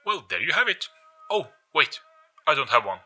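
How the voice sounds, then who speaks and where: Man voicing a high-pitched, welcoming old British gramophone. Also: sing-song, moderate pace, pushed and bright, faint music behind, exaggerated voice. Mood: musing. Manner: joyful, genteel, eagerly polite. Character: welcoming older British man's voice inside a magical gramophone.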